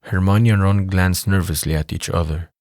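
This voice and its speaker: A man's voice: sits low, steady tone, moderate pace, a little mellow, dry recording, clean studio sound. A man reading scripted narration for an audio drama as its narrator.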